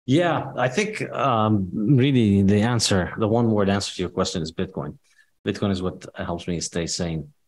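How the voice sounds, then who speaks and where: Deep-voiced economist from Palestine. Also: male voice, darkish tone. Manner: measured, matter-of-fact, with frequent ums. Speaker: guest, economist and author. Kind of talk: interview answers. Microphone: studio mic on video call.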